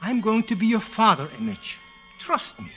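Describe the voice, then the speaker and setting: A man's voice: high voice, wildly swooping pitch, normal speed, bright, forceful tone, full character voice. An actor playing a fussy, wry older psychoanalyst, blunt and fatherly, who grumbles and lectures in 1940s radio drama style. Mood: coaxing, lecturing. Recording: restored vintage radio broadcast, music behind.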